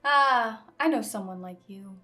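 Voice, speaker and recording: A woman's voice: on the high side, unhurried, sharp tone, faint music behind. A woman talking casually as a player in a tabletop roleplaying game.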